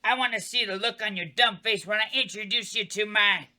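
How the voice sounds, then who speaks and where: Stabby the Clown, a bitter murderous clown, sneers and rants in a piercing, sharp-edged voice, shouting in outrage at his ex, as a man reads the part aloud at a table read. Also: sits high, brisk. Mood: sneering. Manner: ranting, shouting in anger. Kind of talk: table read of a comedy horror script.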